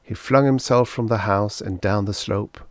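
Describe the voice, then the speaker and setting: A man's voice: on the low side, steady tone, normal speed, no room sound. A man reading a scripted short story aloud as an audiobook narrator.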